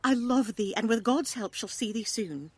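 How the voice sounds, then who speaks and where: A woman's voice: on the high side, lively intonation, normal speed, slightly rough, no echo. A woman voicing a resolute, passionate young female Tudor musician in an audio play, with urgent, old-fashioned speech. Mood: earnest, tender.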